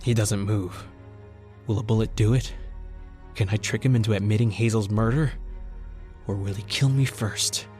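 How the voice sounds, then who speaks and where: A man's voice: on the low side, fairly even intonation, normal speed, long pauses, a little mellow, natural voice. An actor playing a frightened, wrought-up man with a gun in an old-time radio thriller, speaking in a melodramatic way. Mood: grim, desperate. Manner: overwrought, melodramatic. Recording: music bed behind the voice.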